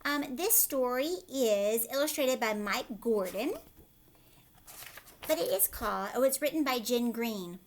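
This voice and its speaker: Woman, higher-pitched, very expressive intonation, teacher, storybook read-aloud, chatty explanatory asides, asks questions. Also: a little slow. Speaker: teacher reading a picture book aloud. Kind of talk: storybook read-aloud with casual commentary for children.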